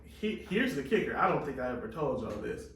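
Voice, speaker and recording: A man's voice: mid-range voice, sing-song, fairly quick, fairly bright tone, far from the mic, a little hiss or hum. Laid-back man telling a story, echoey. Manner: loose, profane storytelling. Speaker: podcast co-host reading posts. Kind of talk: casual chat. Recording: echoey room, off mic.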